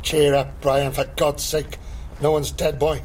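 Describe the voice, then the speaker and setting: A man's voice: a little high, level tone, measured pace, background noise, lightly acted. A man playing a teasing Welsh cop. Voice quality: chirpy, teasing, pleased with his own puns. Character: jokey, rambling Welsh male police officer. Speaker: police officer. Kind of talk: radio sitcom acting.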